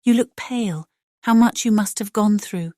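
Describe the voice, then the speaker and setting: A woman's voice: mid-range voice, normal speed, mellow, no echo, studio quality, pitched above the performer's own voice, lightly acted. A woman voicing a concerned, affectionate young lady in an audiobook, with an anxious, direct manner. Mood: anxious, caring. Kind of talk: dramatized audiobook character reading.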